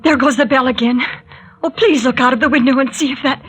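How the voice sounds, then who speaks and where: Anxious young heroine played by a woman, high-pitched, genteel and fearful, classic radio drama. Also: steady tone, quick pace, faint music behind, natural voice. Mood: frightened. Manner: anxious, polite. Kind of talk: 1940s radio acting.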